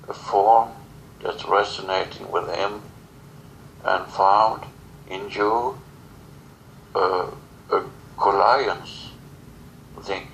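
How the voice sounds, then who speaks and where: A man with a low, heavily creaky voice speaks non-native English in solemn, broken phrases, as if delivering channeled spiritual teaching. His delivery is very slow, and there is faint noise behind him. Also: a bit bright, rough recording. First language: not English. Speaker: spiritual channeler answering questions.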